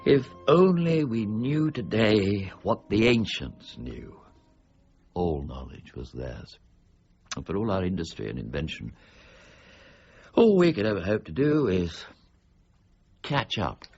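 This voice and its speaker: An actor playing a proud, irascible, middle-aged scholar in a period radio drama, speaking formally with grave, clipped intensity. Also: male voice, lowish voice, sing-song, takes it slowly, long pauses, a little mellow, husky, muffled recording, heavily acted. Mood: brooding, intense. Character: brooding, proud, irascible ageing English scholar, stern mint warden.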